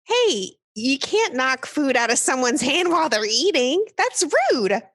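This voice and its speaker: Lively, exasperated woman guest, acted by a woman. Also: high-pitched, unhurried, slight background noise, exaggerated voice. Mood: taken aback. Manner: casual, hesitant, stammering. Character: earnest, exasperated female food expert guest. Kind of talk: radio comedy sketch dialogue.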